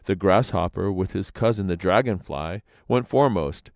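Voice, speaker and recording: A man narrating legends as a storyteller, in a dramatic, expressive reading. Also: deep voice, normal speed, vocal fry, no room sound, clean studio sound.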